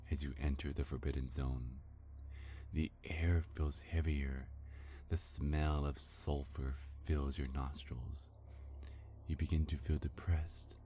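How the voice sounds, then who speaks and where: Very deep, slow, mellow male narrator. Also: a little hiss or hum. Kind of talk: scripted ASMR story narration in second person.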